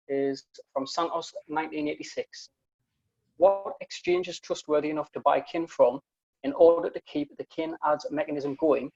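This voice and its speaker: A young man with a broad British accent asks community questions over a video call. His voice sits a little high, with a gravelly fry, and the call audio sounds dull and lo-fi. He speaks in a friendly way, with frequent ums. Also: a little mellow. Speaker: community council member asking questions. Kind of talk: casual video call interview. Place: small room at home. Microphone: earphone headset on video call.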